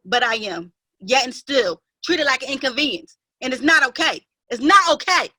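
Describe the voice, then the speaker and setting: Actress as bright, indignant young-sister type woman. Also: high voice, lively intonation, normal speed, dry recording, full character voice. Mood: wounded, protesting. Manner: emphatic, pleading, wounded. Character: aggrieved, indignant half-sister, sheltered and proper. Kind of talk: dramatic play reading.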